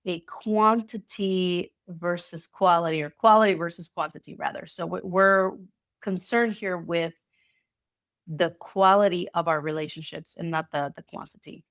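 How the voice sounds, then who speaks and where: A woman giving a casual online talk with slides, slightly nasal from a cold, with halting speech and frequent restarts. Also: fairly low pitch, dry recording, studio quality. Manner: halting, many restarts and repeated words. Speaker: presenter.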